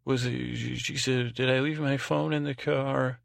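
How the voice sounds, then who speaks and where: A man hosting a sleep podcast with a meandering, halting bedtime ramble in a lulling, soothing tone, often repeating himself and trailing off. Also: mid-range voice, steady tone, no echo, studio quality. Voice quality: creaky, gravelly. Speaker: podcast host. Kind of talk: rambling improvised bedtime monologue. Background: music.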